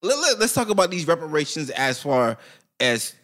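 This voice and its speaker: A young man co-hosting a casual chat podcast, joking loudly and laughing often. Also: a little high, big pitch swings, normal speed. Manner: loud laughing, joking, profane.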